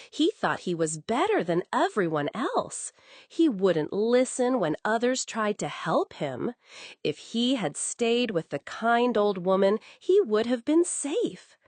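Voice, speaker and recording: A woman telling a scripted bedtime story, expressive and coddling, as if speaking to a young child. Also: mid-range voice, slow, no echo.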